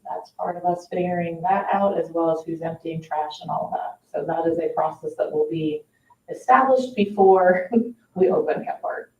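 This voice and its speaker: A woman's voice: fairly low pitch, normal speed, darkish tone, dull audio. Woman explaining in an echoey meeting room. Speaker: parks staff member at a board meeting. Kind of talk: informal meeting discussion. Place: indoor public meeting room. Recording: echoey meeting room, distant mic, faint noise.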